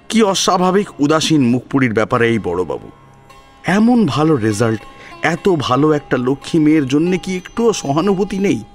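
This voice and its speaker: Animated male storyteller. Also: medium pitch. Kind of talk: dramatic narration of a serialized story.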